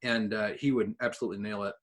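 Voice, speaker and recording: A man co-hosting a podcast, talking in an enthusiastic, informal way as he pitches his ideas. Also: steady tone, fast talker. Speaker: podcast co-host. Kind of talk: casual podcast chat.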